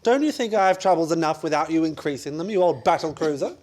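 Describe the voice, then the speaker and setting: A man's voice: on the high side, expressive, quick pace, darkish tone, lightly acted. A man playing an exasperated, sarcastic, scheming husband in a comic radio play, blustering and wry in a theatrical way.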